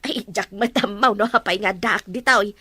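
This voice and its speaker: A woman's voice: mid-range voice, moderate pace, sharp tone, slightly rough, no echo. A woman playing a concerned, talkative middle-aged Filipino mother who chides and counsels in a family drama. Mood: chiding, curious. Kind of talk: naturalistic drama dialogue.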